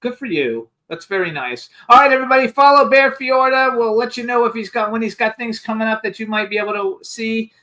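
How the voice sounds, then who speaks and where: Bright, high-voiced chatty male host. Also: a little fast, few pauses. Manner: chatty, rambling, excitable. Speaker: talk show host. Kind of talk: live radio interview.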